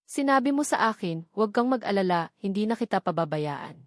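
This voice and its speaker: A calm and gentle man of wealth and power in a romance audio story, played by a woman narrator. He speaks softly and kindly at a middle pitch, in a dry, close recording. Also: a little fast, no room sound, close to an everyday voice. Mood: gentle, nostalgic. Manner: calm, soft-spoken.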